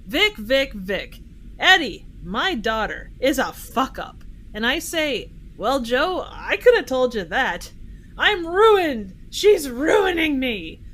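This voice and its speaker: A woman as a high, animated gangster ex-con. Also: slow, exaggerated voice. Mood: mocking. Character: cocky, foul-mouthed ex-convict gangster, loyal and taunting. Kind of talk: amateur audio drama acting.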